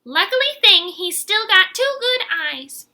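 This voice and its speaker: Lady doing chatty old granny snake from a kids book, high bright voice, fussy, really emphatic. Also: very animated, unhurried, full character voice. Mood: relieved, fussy.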